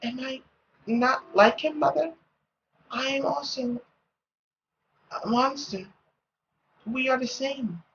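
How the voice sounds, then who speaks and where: A man voicing a timid, gentle young woman, a devoted daughter, soft and halting. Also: extremely high pitch, creaky, muffled recording, a little hiss or hum, pitched above the performer's own voice, heavily acted. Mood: sad, quiet.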